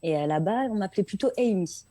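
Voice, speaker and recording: A woman's voice: mid-range voice, expressive, fast, warm tone, no echo. A woman from France, a singer chatting casually as an interview guest and repeating words for emphasis. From France, Paris region.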